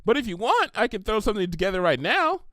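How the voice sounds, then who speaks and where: A hyperactive young cartoon mare, played by a man, pitched very high and swinging wildly up and down. She gushes and rambles with cheerful, bubbly eagerness. The recording is close and dry. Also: sing-song, fast, fairly bright tone, no echo.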